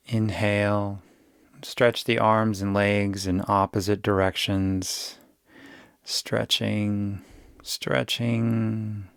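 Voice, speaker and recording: Male meditation guide: gravelly, soothing, unhurried delivery, very dry sound. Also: lowish voice, no echo. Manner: soothing, unhurried, gentle instruction. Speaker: yoga nidra guide.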